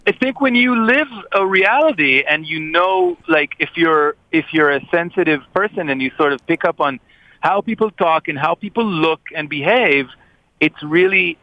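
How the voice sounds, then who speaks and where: Man, higher voice, thoughtful. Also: no room sound, dull audio. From Israel. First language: Hebrew. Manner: thoughtful, hesitant with repeated restarts. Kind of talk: casual discussion.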